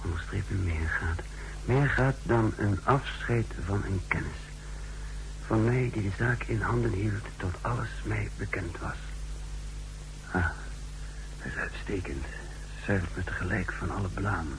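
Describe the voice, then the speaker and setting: Casting: brooding spy, low voice, measured monologue, noisy vintage radio recording. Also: male voice. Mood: brooding, calculating. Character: secretive, scornful male spy recounting his mission. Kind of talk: 1960s radio drama monologue and narration.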